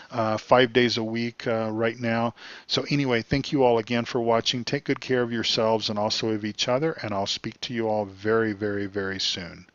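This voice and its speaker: A man hosting his gaming channel, chatting casually while he plays, rambling with many ums and uhs, his voice getting hoarse. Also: mid-range voice, dry recording, lo-fi sound. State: losing his voice. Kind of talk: casual commentary while playing. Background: truck engine and road sounds.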